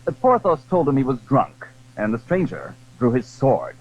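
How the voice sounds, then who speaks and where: A male narrator tells a classic adventure tale in a dramatic, scripted manner. His voice is mid-pitched with very lively intonation. Faint noise runs under the old recording. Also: moderate pace, muffled recording.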